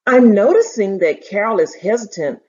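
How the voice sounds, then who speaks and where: A woman teacher reading a story aloud in an expressive, emphatic storytelling style, pausing to share her thoughts. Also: mid-range voice, breathy edge. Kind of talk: expressive story read-aloud with think-aloud commentary. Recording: dull, lo-fi audio.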